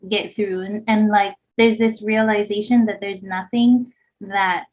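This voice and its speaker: A woman's voice: mid-range voice, steady tone, a little slow, a little mellow. A woman in her thirties who grew up in Hawaii, co-hosting a podcast and telling her story in a casual, rambling way with lots of "like". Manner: rambling, heavy use of "like". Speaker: podcast co-host. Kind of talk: casual chat, personal story. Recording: slightly dull lo-fi sound.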